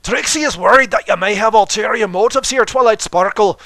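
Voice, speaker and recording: A man's voice: sits high, a little fast, pushed and bright, pitched above the performer's own voice. A man voicing a boastful, indignant female pony stage magician who declaims haughtily in the third person for a story reading. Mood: suspicious, haughty.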